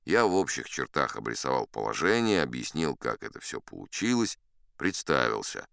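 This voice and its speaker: A man's voice: extremely low pitch, big pitch swings, normal speed, creaky, a bit bright, clear, resonant tone, dry recording, studio quality, pitched below the performer's own voice, exaggerated voice. A man playing an older sea captain who spins a yarn in a dramatized audiobook, wry, boastful and conversational. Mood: wry, unhurried. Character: old sea captain storyteller, folksy, boastful, dignified.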